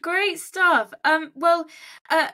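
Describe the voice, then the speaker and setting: A woman's voice: extremely high pitch, extremely slow, sharp tone. A woman hosting a conversational interview podcast, phrasing her questions in a loose, hesitant way. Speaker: podcast host.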